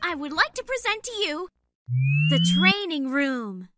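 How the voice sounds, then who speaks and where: Eager young fox boy, as a performer does him, very high, lively, music behind. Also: a little slow. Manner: eager, instructive. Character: young male fox, helpful gadget-minded trainer. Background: background music.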